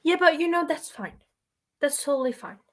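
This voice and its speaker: A young woman with a Norwegian accent hosting a casual livestream interview, bubbly and encouraging, quick to laugh. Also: high-pitched, animated, fairly bright tone. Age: young adult. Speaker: host.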